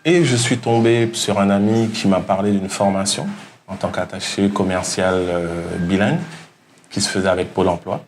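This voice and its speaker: Deep-voiced man of forty. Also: steady tone, normal speed. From French Guiana. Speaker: programme participant, salesman. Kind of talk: personal testimonial. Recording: faint background noise.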